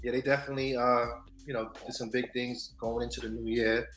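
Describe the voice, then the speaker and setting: A man co-hosting a casual news and culture show, animated, swinging between loud hype and soft asides. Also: medium pitch, brisk. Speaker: podcast co-host. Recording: echoey room with faint music.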